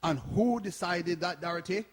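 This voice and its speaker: A man's voice: high voice, normal speed. An actor playing a frustrated, persistent older man at a community centre in a radio play. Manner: sighing, challenging, insistent. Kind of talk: radio drama acting before an audience. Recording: reverberant hall recording.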